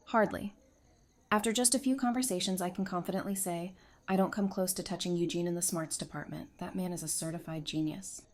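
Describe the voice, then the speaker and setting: A woman's voice: lowish voice, fairly even intonation, a little fast, a little mellow, natural voice. A woman playing a friendly, easygoing survivor in an audio drama, chatty, informal and reassuring. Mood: upbeat casual. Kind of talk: fan audio drama acting, casual dialogue.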